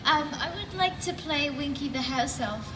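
Squeaky woman panelist, high, earnest; hall echo from afar, noisy. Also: expressive, moderate pace. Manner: earnest, chatty. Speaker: actor on a convention panel. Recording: echoey hall sound from far off, noisy.

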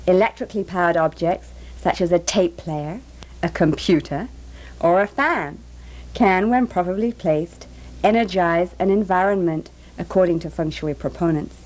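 A woman, a healthcare practitioner, giving calm, measured, scripted narration for a documentary. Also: fairly low pitch, rough recording.

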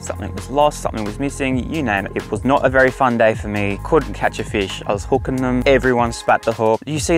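A young man chatting casually while fishing from a small boat outdoors, upbeat and chatty. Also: mid-range voice. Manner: chatty, upbeat, excitable reactions. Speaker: fishing vlogger. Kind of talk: casual outdoor vlog commentary.

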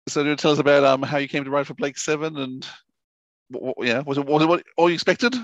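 A man's voice: fairly high pitch, fairly even intonation, quick pace, dry recording. A middle-aged man hosting a casual podcast interview, speaking into a headset microphone. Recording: dry, slightly dull headset sound.

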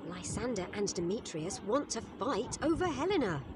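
A woman narrating a simple story in a clear, expressive, scripted storybook way. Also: mid-range voice, normal speed, noisy background. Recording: music under the voice. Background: music.

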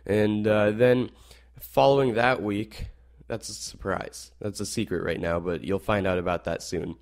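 A teenage boy hosting a rock radio show, slightly hoarse, wrapping up with rambling asides and many fillers. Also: fairly low pitch, fairly even intonation, measured pace. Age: teens. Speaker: radio host. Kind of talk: casual show wrap-up.

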